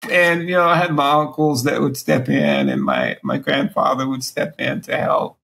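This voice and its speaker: Man, 40s, raspy and gravelly, halting delivery through a breathing machine, interview guest over video call. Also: mid-range voice, lively intonation, fairly bright tone. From Alabama. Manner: speaks around a breathing machine mouthpiece, pausing often. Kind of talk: candid personal interview. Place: small room at home, indoors.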